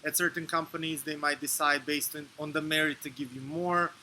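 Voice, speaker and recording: A man from Serbia in his thirties, answering interview questions in a measured, explanatory way with fillers and restarts. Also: on the high side, steady tone, pushed and bright, a little hiss or hum. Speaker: interview guest.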